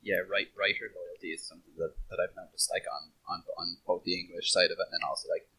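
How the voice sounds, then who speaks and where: Low, husky man in casual discussion. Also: flat delivery, fast talker, bright, forceful tone, rough recording. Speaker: podcast panelist.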